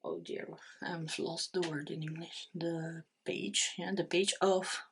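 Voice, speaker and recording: A middle-aged woman with a Dutch accent, giving an unscripted tarot reading, talking slowly and hesitantly with many fillers and restarts. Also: deep voice, steady tone, a little hiss or hum. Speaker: tarot reader.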